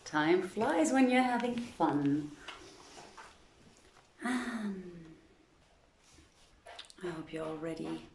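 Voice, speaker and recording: A woman reading a story aloud as a presenter, with a measured, deliberate and dramatic delivery. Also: lowish voice, animated, a little mellow, roomy sound, slight background noise. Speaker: reader presenting a literary reading series.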